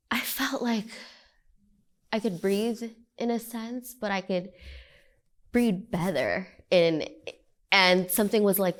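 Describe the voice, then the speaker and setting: A young woman from Guyana giving an earnest, reflective personal testimony that repeats phrases for emphasis. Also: fairly low pitch. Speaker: oral history participant. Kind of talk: personal oral history testimony.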